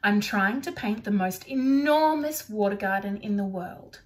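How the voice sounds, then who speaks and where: A gentle old painter from a storybook, read by a woman. He speaks in a kindly, measured way, patient and unhurried. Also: mid-range voice, expressive, recorded from a distance, natural voice. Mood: proud.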